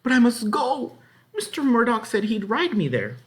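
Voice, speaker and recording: A man's voice: very high-pitched, huge pitch swings, normal speed, pitched above the performer's own voice, heavily acted. A man voicing an anxious, determined young girl who is disguised as a boy, pleading urgently in a storybook reading.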